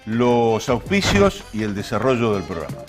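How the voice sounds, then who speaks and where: A man's voice: fairly low pitch, wildly swooping pitch, normal speed, over loud music. A man with an Argentine accent hosting a talk show, speaking in an expansive, explanatory way and often rephrasing as he introduces topics. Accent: Argentine Spanish. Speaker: television show host. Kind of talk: conversational programme introduction to camera.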